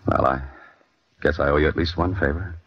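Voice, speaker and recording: An actor playing a bitter, brooding ex-convict who tells his own story haltingly in an old-time radio drama. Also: very deep voice, steady tone, breathy edge, heavily acted. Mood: guarded, wry. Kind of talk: old-time radio drama acting, first-person narration. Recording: muffled vintage radio recording, music underneath.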